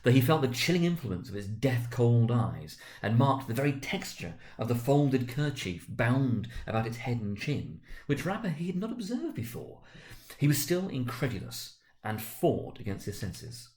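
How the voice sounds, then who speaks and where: Theatrical male storyteller, medium pitch. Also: moderate pace. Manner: theatrical, expressive. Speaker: narrator. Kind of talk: dramatic literary narration.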